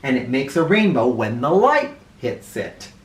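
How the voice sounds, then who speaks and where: A man tells a children's story in a roomy, echoing space, some distance from the microphone. His delivery swings widely in pitch, theatrical and animated, leaning on key words with drawn-out emphasis. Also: slight background noise. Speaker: children's read-aloud storyteller. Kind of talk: expressive storybook read-aloud. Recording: roomy echo, distant mic.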